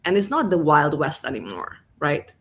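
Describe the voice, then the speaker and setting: A woman's voice: low-pitched, sing-song, normal speed. Hungarian-accented woman telling her story. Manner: warm, conversational, many fillers. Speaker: guest, revenue enablement executive. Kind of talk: interview, career story.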